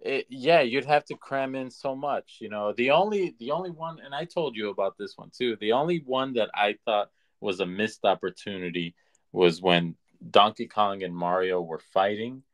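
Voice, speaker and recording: A man co-hosting a podcast, chatting casually about movies in a measured, halting, conversational way. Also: fairly low pitch. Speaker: podcast co-host.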